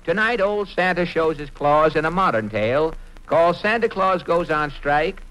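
A man narrates an old-time radio show as its announcer. His scripted delivery is bright and forceful, on a slightly high pitch. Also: expressive, moderate pace, rough recording.

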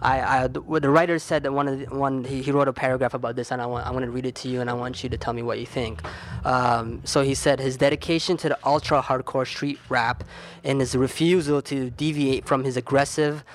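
A man's voice: medium pitch, level tone, brisk. A man hosting a hip-hop podcast and interview in a casual, slangy, informal way.

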